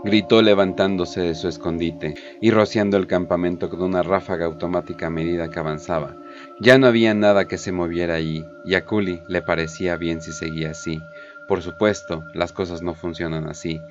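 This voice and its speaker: A man with a deep voice narrates a dramatic story from a script. The recording is slightly dull and lo-fi, with music clearly playing behind him. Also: steady tone, fairly quick. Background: prominent background music.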